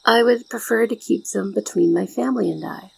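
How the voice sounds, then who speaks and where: Woman as shy devoted maid, ASMR roleplay, very creaky, breathy, gentle, formal, deferential. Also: mid-range voice, a little fast, poor audio. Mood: gently firm, grateful. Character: shy, polite, devoted young female maid.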